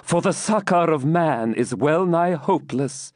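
A brave, devout young woman, as a man reads her lines, answering with earnest, solemn defiance. The voice sits a little higher than usual and moves in a lively way. It is recorded close and dry. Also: unhurried, dry recording.

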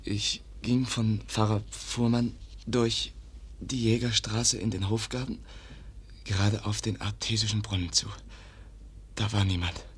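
A man's voice: lowish voice, level tone, a little slow, breathy edge. An actor playing a stabbed young man in a radio play, weak and whispering in broken, clipped sentences. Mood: weak, faltering. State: weak from a stab wound, breathy whisper. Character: wounded young man Kaspar, weak, halting.

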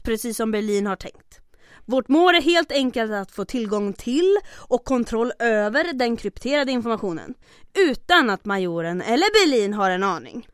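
Actress doing a bossy female resistance boss, dry and impatient, highish voice, close dry radio drama sound. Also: dry recording, close to an everyday voice. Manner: bossy, dry, crisp orders. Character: commanding, sardonic female resistance leader, brisk and impatient. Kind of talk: comic radio drama acting.

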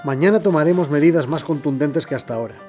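A man playing a stern, calculating official with a formal, measured delivery in an audio drama. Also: a little high, darkish tone, faint music behind, natural voice. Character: stern, calculating male official plotting crackdowns.

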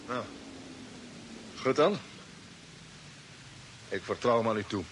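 A man's voice: mid-range voice, animated, takes it slowly, fairly bright tone. A male actor playing an anxious, questioning space fleet commander in a mid-century radio drama. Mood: reluctant, resigned. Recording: hissy old radio recording.

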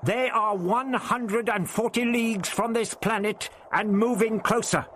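A man's voice: sits high, steady tone, measured pace, fairly bright tone, a little hiss or hum, voice pushed up. A man voicing an irascible, proud elderly man who speaks sharply and declaims. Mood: indignant.

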